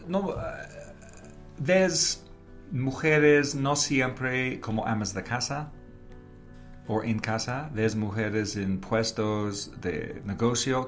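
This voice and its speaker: A man from Canada, a native English speaker with a foreign accent in Spanish, answering interview questions haltingly and slipping in English words. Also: mid-range voice. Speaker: interview guest, advertising creative and professor.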